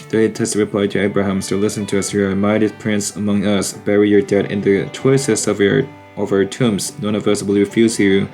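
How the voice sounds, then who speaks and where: A man with a Korean accent, his first language Korean, reads scripture in a low, mellow voice. His delivery is careful and deliberate, with very little rise and fall. He sounds calm and steady throughout. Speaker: Bible reader. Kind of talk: reading scripture aloud.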